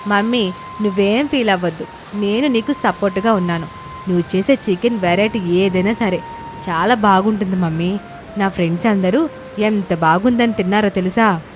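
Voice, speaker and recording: A woman's voice: mid-range voice, moderate pace, soft background music, natural voice. A woman voicing a candid, chatty schoolchild talking to a parent in a cartoon moral story. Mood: reassuring.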